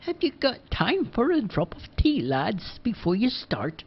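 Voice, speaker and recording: Casting: man playing a fussy, gossiping elderly village woman, high and drawn-out, swooping. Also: huge pitch swings, breathy edge, exaggerated voice. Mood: polite. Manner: slow, dramatic gossip. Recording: dull lo-fi recording.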